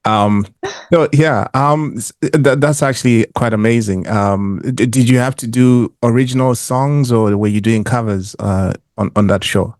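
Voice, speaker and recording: A man hosting a podcast interview over a video call, asking questions in a halting way with many stops and restarts. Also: medium pitch, darkish tone, no echo, studio quality. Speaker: podcast host. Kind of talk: casual interview.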